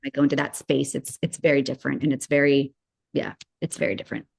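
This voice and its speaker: A woman's voice: very deep voice, fast talker, creaky, darkish tone, husky. A woman from Germany, a spiritual channel, chatting casually as a guest, rambling with lots of fillers and restarts. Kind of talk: casual conversational chat.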